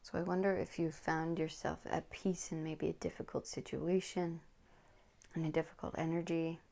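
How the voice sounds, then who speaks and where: A woman with a low, gravelly voice reads tarot cards to her listeners. She talks slowly in an even, flat tone and often slips into a soft whisper close to the mic. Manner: slow, soothing, drops into whispers. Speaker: tarot reader. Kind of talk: pick-a-card tarot reading.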